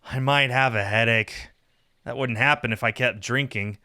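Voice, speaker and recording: A man's voice: mid-range voice, moderate pace, bright, forceful tone, lightly acted. A man voicing a sulky, sarcastic satyr slacker from a visual novel game, whiny and dramatic. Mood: anxious self-soothing. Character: sulky sarcastic male satyr, lazy hard-drinking slacker.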